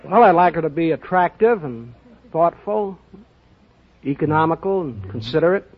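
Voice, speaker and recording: Man, fairly high voice, courteous contestant answers, muffled vintage radio recording. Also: big pitch swings, moderate pace, slight background noise. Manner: polite. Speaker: quiz contestant, investment broker. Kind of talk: answering a host's questions. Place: radio studio before an audience. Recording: dull old radio recording.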